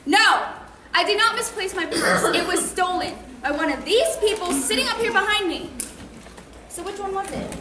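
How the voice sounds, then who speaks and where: A woman's voice: very high-pitched, expressive, normal speed. A young woman playing an indignant, sarcastic girl who menaces suspected thieves in a theatrical stage monologue. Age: teenager or young adult. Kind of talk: high school stage monologue. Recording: noisy, echoey theater stage recording.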